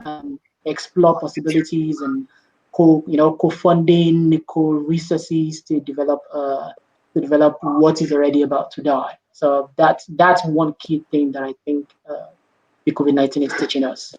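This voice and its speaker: A man speaking thoughtfully as a panelist in a webinar discussion, pausing often with fillers, over a video call. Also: a little high, fairly even intonation, a little mellow, highs cut off. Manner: thoughtful, hesitant with many fillers.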